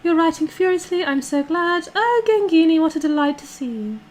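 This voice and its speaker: A woman's voice: extremely high pitch, lively intonation, darkish tone, slight background noise. A British woman playing a bossy, condescending aristocratic girlfriend called a duchess, speaking imperiously to her livestream viewers. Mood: disdainful. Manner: imperious, pompous, condescending, drawn-out emphasis. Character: haughty, bossy aristocratic dominant girlfriend calling herself Duchess. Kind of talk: in-character roleplay livestream, reading and answering chat.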